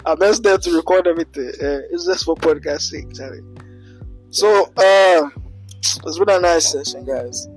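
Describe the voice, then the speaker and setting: A man's voice: on the high side, animated, measured pace, husky, soft background music, rough recording. A young man hosting a podcast in an easygoing way, using local slang. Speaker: podcast host. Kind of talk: casual chat.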